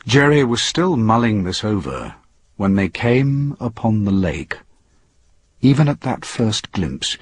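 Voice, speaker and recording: A man with a husky voice narrating a scripted story in a dramatic reading style. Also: low voice, dry recording. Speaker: audiobook narrator.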